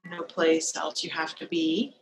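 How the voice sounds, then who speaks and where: Woman, meditation teacher, slightly low pitch, very slow pace, gentle instructions, long pauses, noisy live video-call recording. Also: level tone, over music. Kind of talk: guided meditation.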